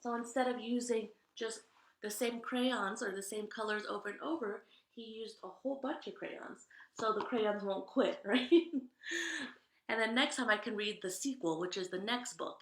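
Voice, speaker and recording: Expressive woman reading to children, roomy sound. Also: on the high side, normal speed, a little hiss or hum. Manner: expressive, talks directly to young listeners. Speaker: read-aloud storyteller. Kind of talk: animated children's picture-book read-aloud with chatty asides.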